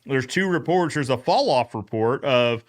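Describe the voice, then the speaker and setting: A man's voice: medium pitch, lively intonation, normal speed. A man co-hosting a casual recap chat, often retelling conversations by quoting both sides. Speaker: podcast co-host.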